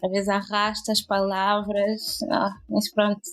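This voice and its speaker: A woman's voice: medium pitch, monotone, measured pace. A woman who grew up in Algeria, chatting casually as a podcast guest. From Algeria, born in Angola. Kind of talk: casual chat.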